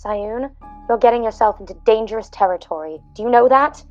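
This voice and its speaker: A woman's voice: a little high, brisk, faint music behind, close to an everyday voice. A woman playing a blunt, cautious companion in a podcast drama, terse and firm. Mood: firm, warning. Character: Molly, blunt cautious no-nonsense female companion. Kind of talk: dramatized narrative podcast acting. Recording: slightly dull muffled audio.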